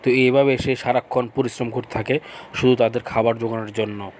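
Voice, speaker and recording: A man's voice: medium pitch, steady tone, fairly quick, darkish tone. Narrator for cartoon fable: man, mid-range voice, simple storytelling delivery, noticeable ambient noise. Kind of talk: narration of a children's-style cartoon folk tale.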